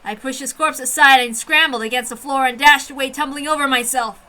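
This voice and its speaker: A woman reading a first-person story aloud with an expressive, intense dramatic delivery. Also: high voice, brisk. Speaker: amateur story reader.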